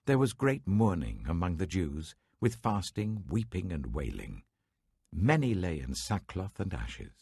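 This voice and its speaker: A man reads scripture with a very low, husky voice that swings widely in pitch. His delivery is measured and dramatic, and the sound is dry and close. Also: a little mellow, no room sound. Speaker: Bible reader.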